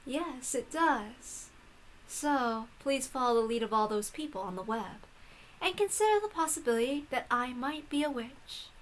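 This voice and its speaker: A woman's voice: high-pitched, expressive, moderate pace, slight background noise, low-quality recording. A woman voicing a smug, bored woman novelist who claims to be a witch, speaking formally and with condescension. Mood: cool, teasing.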